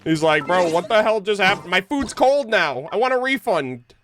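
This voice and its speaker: A man's voice: sits high, animated, normal speed, fairly bright tone, slight background noise. A man streaming a game with casual, slangy, joking reactions. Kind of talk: casual live game commentary. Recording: game music behind the voice.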